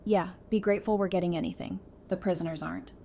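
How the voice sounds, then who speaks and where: A woman's voice: on the low side, steady tone, quick pace, creaky, warm tone. A woman playing a caring, frank apocalypse survivor in a naturalistic audio drama. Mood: rueful, frank. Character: caring, frank female zombie-apocalypse survivor, hurt by betrayal.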